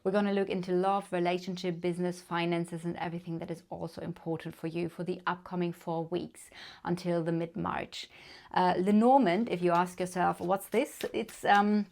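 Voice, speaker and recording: A woman from Germany with a German accent, giving a casual tarot card reading with gentle, unhurried talk and many fillers. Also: on the low side, little pitch movement, vocal fry. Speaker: tarot reader.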